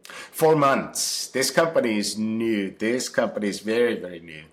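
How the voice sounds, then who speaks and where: A man speaks in a very low voice. He answers interview questions haltingly and fills his pauses with many fillers and restarts. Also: animated, a bit bright. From Finland. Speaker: podcast guest, company founder.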